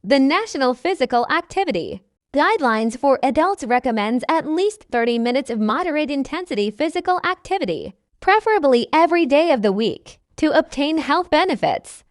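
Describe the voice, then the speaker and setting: A woman reading scripted practice passages aloud in a measured, instructional way. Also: high-pitched, sing-song, no echo, clean studio sound. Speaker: narrator of English test practice passages.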